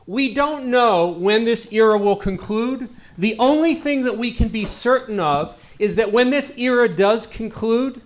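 A middle-aged man, a rabbi, giving a lecture to a community audience into a handheld microphone, with a loud voice and a habit of repeating key lines for emphasis. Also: sits high. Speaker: rabbi and guest speaker.

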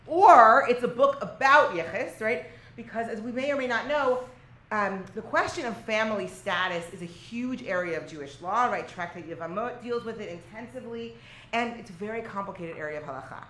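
A woman lecturer with a fairly high voice guides a class through a religious text. She is emphatic and conversational, often checking in with short tag questions. The room is echoey with noticeable noise behind her. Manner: animated, emphatic, peppers talk with "right?" and "okay?"; switches between English and Aramaic or Hebrew quotation. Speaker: teacher leading a class.

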